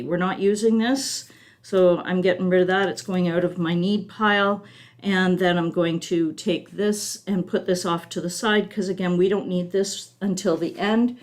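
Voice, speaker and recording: A woman walking viewers through a card-making class step by step, her voice still recovering from a long illness. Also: mid-range voice. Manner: patient, methodical, talks through each step with fillers. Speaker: craft class instructor.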